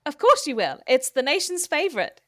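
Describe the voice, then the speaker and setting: Woman playing a high-voiced, cheery reassurer. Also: very animated, fairly quick, sharp tone, no echo, clean studio sound, full character voice. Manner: reassuring, blithe. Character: cheery, reassuring woman full of festive goodwill. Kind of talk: comic audio drama.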